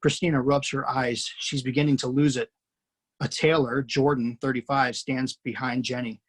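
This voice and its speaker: A man reading the stage directions aloud in a plain, steady way as the screenwriter hosting a table read over a video call. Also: medium pitch, normal speed, slightly rough. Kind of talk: reading stage directions, then casual chat. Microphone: video call mic.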